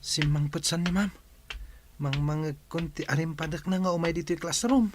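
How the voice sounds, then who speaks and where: Casting: man as courteous Filipino schoolboy, fairly high male voice, faint background noise, radio drama. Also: fairly even intonation, moderate pace, natural voice. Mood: polite. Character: polite, considerate young male pupil.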